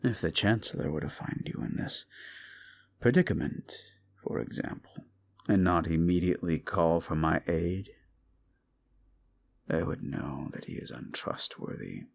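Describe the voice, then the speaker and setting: A man playing a creaky-voiced, unhinged yandere prince. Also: low voice, animated, slight background noise, exaggerated voice. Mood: menacing. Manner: soft, slow, often whispering, menacing. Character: unhinged paranoid possessive young prince. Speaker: voice actor. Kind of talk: audio roleplay drama. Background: background music.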